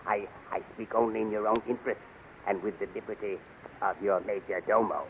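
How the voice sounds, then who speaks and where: An actor playing a scheming older majordomo, formal and obsequious, in a 1930s radio serial. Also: male voice, on the low side, steady tone, a little fast, lo-fi sound, close to an everyday voice. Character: scheming, flattering older male courtier and majordomo. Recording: noisy 1930s radio recording.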